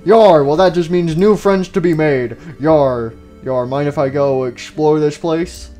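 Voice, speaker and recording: A man's voice: fairly high pitch, measured pace, mellow, soft background music, close to an everyday voice. A man voicing a boisterous, adventurous animatronic pirate fox who rambles and peppers speech with pirate growls of "yar". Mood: jaunty. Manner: starts sentences with "yar".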